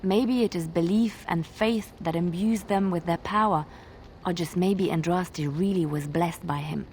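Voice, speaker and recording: A woman's voice: on the low side, a little slow, darkish tone, a little hiss or hum. An actress playing a humble, devout woman of faith in a video game, earnest, formal and full of awe. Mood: reverent. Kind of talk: video game character acting.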